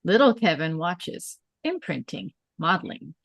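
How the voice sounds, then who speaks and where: Casting: female stage-directions narrator, lowish voice, clipped storytelling phrases, close dry recording. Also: very animated, unhurried, gravelly, no room sound, dull audio. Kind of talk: scripted narration of screenplay directions.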